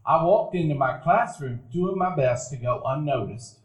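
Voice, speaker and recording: A man's voice: a little high, animated, moderate pace. Wry middle-aged male narrator. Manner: wry, reflective. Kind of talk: storytelling narration in a live radio play. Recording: echoey live theater room.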